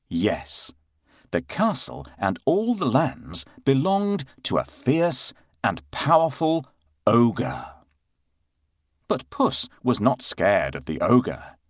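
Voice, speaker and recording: A man narrating a scripted children's bedtime fairy tale with expressive, dramatic delivery. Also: mid-range voice, takes it slowly, no echo, clean studio sound. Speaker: storyteller narrator.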